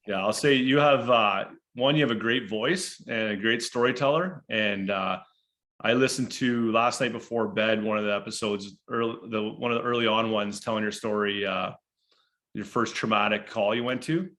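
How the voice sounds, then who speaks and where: A man co-hosting a recovery podcast, talking casually with a halting, hesitant flow. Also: lowish voice, fairly even intonation, fairly bright tone. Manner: hesitant, lots of uh and restarts. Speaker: podcast co-host.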